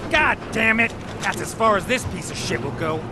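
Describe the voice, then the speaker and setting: An actress playing a brash, foul-mouthed female scavenger in a video game, rough and forceful in manner. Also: mid-range voice, animated, normal speed, heavy background noise, lightly acted. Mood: urgent, angry. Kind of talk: video game dramatic acting.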